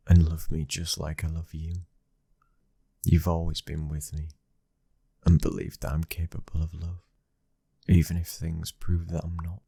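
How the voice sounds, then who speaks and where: A British man acting a protective, devoted young mafia husband in an intimate ASMR boyfriend roleplay, his breathy voice slipping into whispers. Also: darkish tone, no echo, full character voice. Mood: tender, devoted. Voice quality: very deep, breathy, husky.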